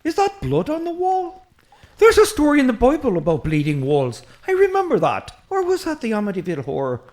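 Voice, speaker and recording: A woman voicing a chatty, excitable Irish priest, a short man who rambles eagerly in an Irish accent in a comic audio drama. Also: sits high, fairly quick. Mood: rambling, curious. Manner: rambling, eager, easily distracted.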